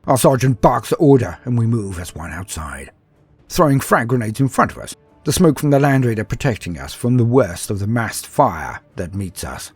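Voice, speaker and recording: A man voicing a proud, battle-hungry space marine in a sci-fi war story, with a husky voice and a haughty, grandiose manner. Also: mid-range voice, big pitch swings, moderate pace, dry recording, faint music behind. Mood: grim, intense. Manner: haughty, scornful, grandiose, dramatic. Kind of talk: first-person dramatic sci-fi war storytelling.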